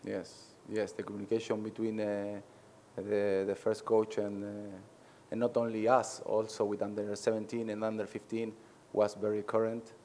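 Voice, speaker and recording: A man from Argentina whose first language is Spanish, a head coach answering press questions in halting English with many pauses. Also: on the low side, level tone, warm tone, a little hiss or hum.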